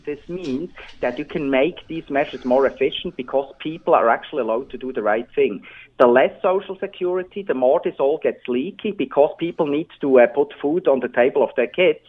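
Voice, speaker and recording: A man's voice: a little high, slightly rough, phone-quality sound. A man with a Swiss accent explaining things emphatically as a medical expert in a radio interview. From Switzerland. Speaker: doctor and public health adviser interviewed as expert.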